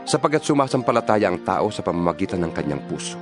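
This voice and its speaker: A man's voice: low voice, sing-song, fast, music in the background. A man reading scripture as narrator of a dramatized Bible, in an earnest, rhetorical preaching delivery.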